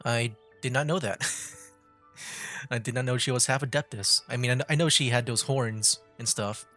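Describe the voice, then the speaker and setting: A man's voice: mid-range voice, steady tone, moderate pace, fairly bright tone. A man streaming a video game, giving casual commentary as he plays. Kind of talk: casual game stream commentary, reading dialogue choices aloud. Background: game music.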